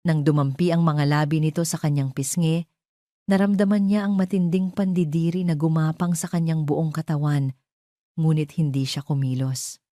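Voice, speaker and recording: A woman's voice: low voice, steady tone, moderate pace, warm tone, no echo, clean studio sound. A woman with a Filipino accent reading a scripted dramatic audio story as its narrator.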